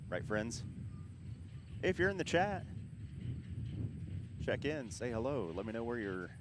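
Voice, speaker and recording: A man's voice: mid-range voice, very animated, measured pace. A man hosting a plane-spotting livestream in a casual, conversational way, talking straight to his viewers. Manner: conversational, addresses viewers directly. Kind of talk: casual live commentary, addressing chat. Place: outdoors near an airport. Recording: noisy outdoor airport ambience with vehicles.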